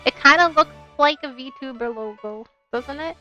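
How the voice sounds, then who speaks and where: A woman's voice: sits high, normal speed, sharp tone. A woman with a bubbly, playful manner, talking as a game streamer on a livestream. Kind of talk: casual livestream chat with read-aloud game narration. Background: game music.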